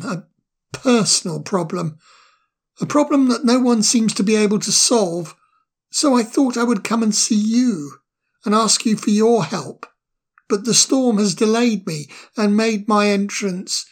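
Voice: mid-range voice, close to an everyday voice. A reader doing a stammering shy fire sprite. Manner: stammering, nervous, apologetic. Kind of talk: storybook reading.

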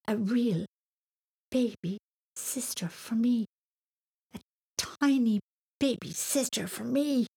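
A woman's voice: fairly high pitch, very animated, normal speed, darkish tone, slightly rough, pitched above the performer's own voice, full character voice. A woman voicing an earnest, anxious young boy who gets easily upset, in a children's audiobook. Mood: tearful wonder. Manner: exclaiming, plaintive, hesitant.

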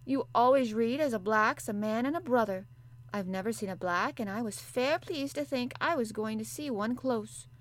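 A woman's voice: fairly high pitch, moderate pace, natural voice. A woman voicing a blunt, good-natured young housemaid with a broad Yorkshire accent, speaking in homely dialect. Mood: chatty.

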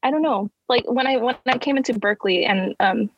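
Young college woman on a podcast, kinda husky, dry sound, earnest and self-deprecating. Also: on the high side, a little fast, no room sound, dull audio. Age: young adult. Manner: earnest, self-deprecating, many fillers and restarts. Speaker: guest, university student. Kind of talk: casual interview conversation.

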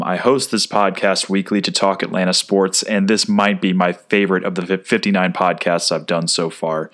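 A man's voice: low-pitched, fairly bright tone. A man, hosting a casual solo sports podcast, chatty and rambling, with frequent fillers and restarts. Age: 30s.

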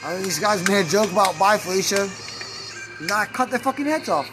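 High, lively crude-joking man. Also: a little fast. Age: 30s. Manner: crude, boastful, laughing. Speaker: street musician being interviewed. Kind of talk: rambling crude joking chat. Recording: music playing behind.

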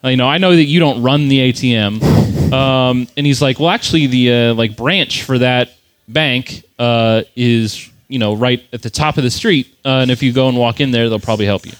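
A man's voice: medium pitch, normal speed, clean tone, no echo. A man casually telling a long story, rambling, swearing freely and filling his speech with "like". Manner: rambling, profane, lots of "like" fillers.

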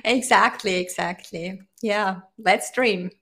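A woman from Austria, a brand strategist and interview guest, speaking earnestly and inspirationally with slightly halting phrasing. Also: medium pitch, a bit bright. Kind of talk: conversational interview.

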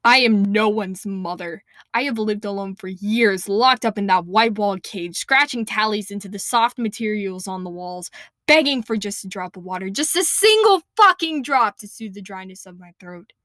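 A woman's voice: medium pitch, lively intonation, normal speed, fairly bright tone, no room sound, clean studio sound, close to an everyday voice. A woman voicing a bitter, distrustful young mother who talks in an angry, accusing way and swears.

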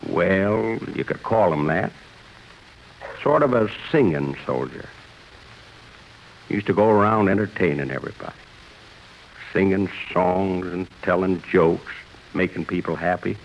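Creaky-voiced, gentle old man. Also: low voice, lively intonation, unhurried, pauses often, slight background noise. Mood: gentle, reflective. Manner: homespun, fatherly, folksy. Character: departing old year 1950, gentle reflective old man. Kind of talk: 1950s radio drama acting. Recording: dull vintage radio broadcast, music behind.

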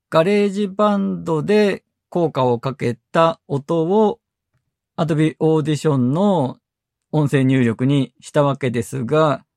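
A man hosting a tech podcast, explaining software methodically, step by step. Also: a little high, gravelly, no room sound, studio quality. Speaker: podcast host.

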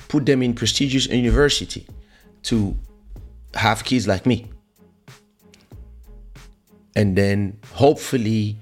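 A man who grew up in France, speaking as he hosts a casual, opinionated monologue to viewers, emphatic and repetitive, with rhetorical questions. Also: on the low side. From born in Africa, grew up in France. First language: French. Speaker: online show host.

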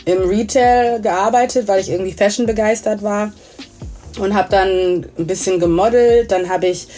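A woman's voice: mid-range voice, normal speed, dark tone. A thirty-year-old woman from Germany chatting casually as an interview guest, mixing English words into her talk. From Germany, grew up in Essen.

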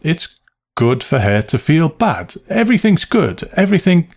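A man voicing an anxious father in an audiobook story, forcing agreeable cheer with nervous, halting reassurance. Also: lowish voice, expressive, darkish tone. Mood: strained, forced cheer.

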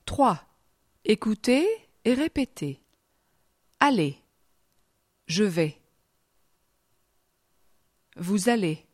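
A woman teacher reading slow, clear repetition drills for learners, with deliberate pronunciation and pauses. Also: medium pitch, sing-song, darkish tone, dry recording, clean studio sound. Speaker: language teacher. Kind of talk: slow scripted language drill.

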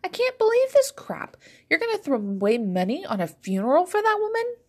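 A woman voicing a brash, excitable, blunt grown daughter in an audiobook story. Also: mid-range voice, normal speed, vocal fry. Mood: appalled. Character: brash, gleeful, unforgiving grown daughter on the phone.

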